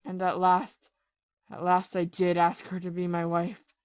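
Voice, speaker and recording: A woman playing a bitter, lovesick, ruined ex-army captain in a period audio play, confessing passionately and haltingly. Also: fairly low pitch, monotone, darkish tone, dry recording, lightly acted. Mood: unsettled. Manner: confessional, impassioned, halting with repeated phrases.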